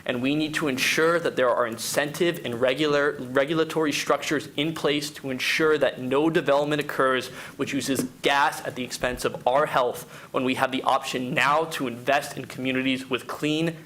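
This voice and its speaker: A man's voice: medium pitch, fairly even intonation, moderate pace, few pauses, fairly bright tone. Articulate man reading a prepared speech. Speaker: public commenter at a council meeting. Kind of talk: prepared speech read out, formal. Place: council meeting room.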